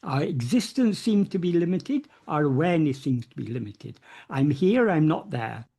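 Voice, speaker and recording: A man's voice: a little high, sing-song, normal speed, gravelly, warm tone, slightly rough. A man giving an unscripted philosophical talk as a spiritual teacher in an online meeting, earnestly restarting and repeating phrases and leaning on key words. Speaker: spiritual teacher answering questions.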